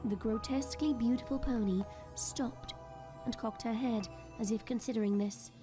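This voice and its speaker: A woman's voice: a little high, moderate pace. Woman narrating drama over prominent music. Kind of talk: scripted dramatic story narration.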